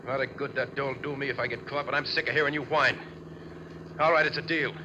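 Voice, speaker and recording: Male actor as tough young getaway driver, American, clipped, very quick, impatient, dull 1940s radio recording, noisy vehicle. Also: mid-range voice, steady tone, close to an everyday voice. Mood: irritated, scornful. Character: hard-boiled, impatient young male bank robber. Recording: muffled old radio, noisy car background.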